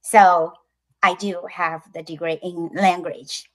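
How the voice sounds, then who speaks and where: A woman from China with a Chinese accent, speaking English as an acquired language, talks slowly as an interview guest, with many pauses and fillers. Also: on the low side, steady tone, a bit bright. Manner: slow and deliberate, many ums and pauses. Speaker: guest, children's book creator. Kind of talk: interview conversation.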